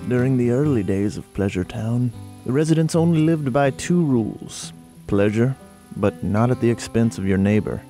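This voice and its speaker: A man giving scripted narration for a historical documentary, telling it deliberately like a storyteller. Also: medium pitch, animated, warm tone. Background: faint plucked guitar.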